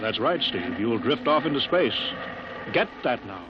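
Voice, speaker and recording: An actor playing a serious, cautious American rocket project chief in a 1950s radio drama. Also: male voice, mid-range voice, sing-song, measured pace. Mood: firm, insistent. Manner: serious, insistent, businesslike. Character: earnest, worried male rocket project chief, firm and cautious. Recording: muffled, noisy vintage radio recording.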